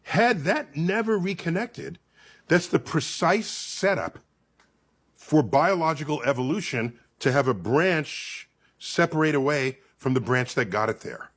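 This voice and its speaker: A man with a fairly high, rough-edged voice explains science conversationally. His pitch swings widely as he builds each point like a theatrical storyteller. He pauses for emphasis and checks that his listener follows. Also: animated, fairly bright tone.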